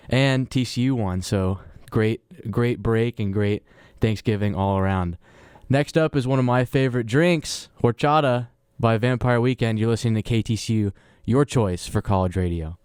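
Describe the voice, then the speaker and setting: A man's voice: lowish voice, darkish tone, smooth, no echo, studio quality. Upbeat young male college radio DJ. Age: young adult. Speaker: college radio DJ and postgame host. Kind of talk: radio DJ patter and postgame talk.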